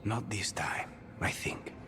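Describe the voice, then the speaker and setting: A man playing a weary, brooding assassin in a video game, halting and intimate, often nearly whispering. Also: close to an everyday voice. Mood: resigned, hushed. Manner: halting, intimate, dropping into a hushed near-whisper. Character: weary, tormented, brooding male assassin trapped in his own mind. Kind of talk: dramatic video game voice acting.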